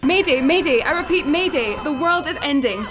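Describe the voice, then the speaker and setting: An actress playing a bossy but soothing young jobseeker in a radio comedy, with a brisk, dryly teasing manner. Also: female voice, high-pitched, lively intonation. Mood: mock panic. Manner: brisk, instructing, dry. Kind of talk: radio sci-fi comedy acting.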